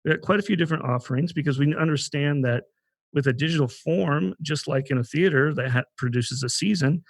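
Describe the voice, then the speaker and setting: A man's voice: on the high side, a little fast, creaky, no echo. A man hosting a podcast in a conversational introductory style, often thinking aloud and rephrasing as he goes. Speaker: podcast host.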